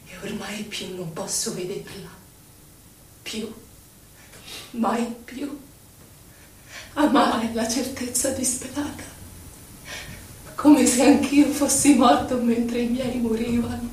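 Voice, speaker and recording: A woman performs as a grieving, lyrical female figure in a stage poetry recitation. She speaks very slowly and dramatically, lingering on phrases, in a slightly high voice. The sound is distant and heavily reverberant. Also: darkish tone. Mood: mournful. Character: grieving, lyrical poetic voice of loss. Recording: very echoey, distant, faint noise.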